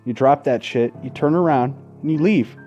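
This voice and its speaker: A man doing gaming commentary, reading a visual novel aloud with casual, sweary asides and an expressive, dramatic delivery. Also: mid-range voice, normal speed, lo-fi sound, soft background music. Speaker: let's play host. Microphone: close gaming microphone.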